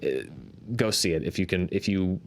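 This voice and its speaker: A man's voice: sits low, fairly even intonation, normal speed. Man hosting a conversational analysis show. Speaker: host of a box office analysis show. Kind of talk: conversational box office commentary.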